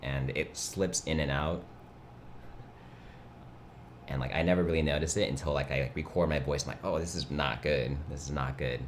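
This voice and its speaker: A young man hosts a laid-back livestream, his voice sitting extremely low and full of vocal fry with a nasal edge. Mandarin was his first language, and he rambles easily while chatting. A faint hum of room noise sits under him. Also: steady tone, normal speed, long pauses. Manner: laid-back, rambling, reads chat aloud. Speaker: livestream host. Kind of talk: casual chat.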